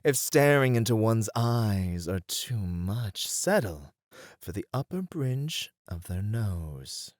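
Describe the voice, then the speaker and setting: A performer playing a poised, playful etiquette tutor in a scripted ASMR roleplay, speaking with refined, deliberate phrasing. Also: mid-range voice, very animated, dry recording, clean studio sound. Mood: intent, coaxing.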